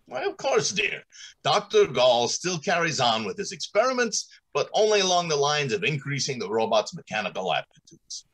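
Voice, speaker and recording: Husky, animated, self-assured director played by a man. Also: fairly high pitch, moderate pace, exaggerated voice. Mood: breezy assurance. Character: confident, dismissive factory director and husband. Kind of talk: old-time radio drama acting. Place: small room at home, on a video call.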